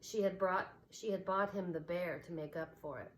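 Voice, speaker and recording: A woman teacher reading a children's novel aloud, expressive and changing voices for the characters. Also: on the low side, moderate pace, slight background noise, rough recording.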